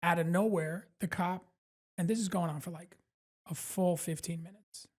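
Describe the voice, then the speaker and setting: Comedian guest, man, New York accent, slightly husky, loose and profane storyteller. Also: high-pitched, moderate pace. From Brooklyn, New York. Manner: animated, profane, rambling, full of fillers and self-mockery. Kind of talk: casual storytelling in a podcast interview.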